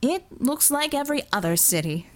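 Audiobook villain, male, played by a woman: flippant, sardonic, smugly cruel, very animated intonation. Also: sits high, fairly quick, pitched above the performer's own voice. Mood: bored. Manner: sardonic, breezy, mock-genteel.

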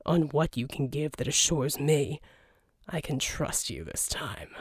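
A gruff male mercenary from an audiobook, played by a woman. The voice sits low and subdued, slow and muttering. It is deadpan, sardonic and thoroughly unimpressed. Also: no room sound, clean studio sound, exaggerated voice. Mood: wary. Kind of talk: audiobook character dialogue.

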